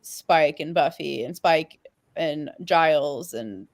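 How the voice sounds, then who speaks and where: A woman's voice: low-pitched, takes it slowly, no room sound. A woman in a casual panel discussion, talking hesitantly and loosely with lots of filler "like"s. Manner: hesitant, rambling, with many "like"s.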